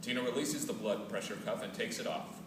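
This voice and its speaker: A man's voice: medium pitch, a little fast. A man reading scripted stage directions as the narrator of a play. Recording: distant, echoey room recording with noise.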